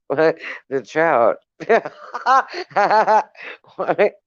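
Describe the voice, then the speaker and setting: A woman's voice: lowish voice, sing-song, extremely slow, dry recording, muffled recording. A woman hosting a casual live stream, reading viewer comments aloud, laughing often and speaking fondly to her viewers. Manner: giggly, laughs often between remarks; affectionate toward viewers.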